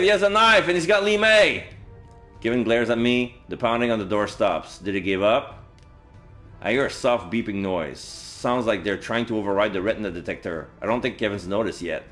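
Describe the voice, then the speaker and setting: A man with a lowish voice streams a visual novel. He reads the text aloud and comments casually on his play, with faint game music behind him. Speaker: video game livestreamer.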